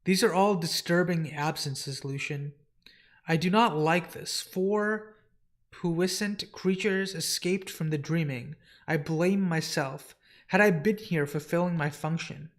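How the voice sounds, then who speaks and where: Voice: mid-range voice, slow, close to an everyday voice. A comic reader voicing a solemn, brooding male ruler of dreams who speaks in stately, formal phrases.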